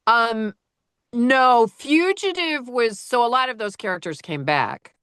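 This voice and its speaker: A woman audiobook narrator with a low-register voice, chatting casually and rambling with lots of fillers and restarts. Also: lively intonation, takes it slowly, a bit bright, clear, resonant tone, no echo, clean studio sound. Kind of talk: casual conversation.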